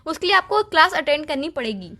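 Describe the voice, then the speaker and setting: High-voiced young woman, nagging. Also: very animated, quick pace, pushed and bright. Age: young adult. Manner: nagging, lists complaints at length. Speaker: girlfriend on a phone call. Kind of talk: casual relationship argument in call format.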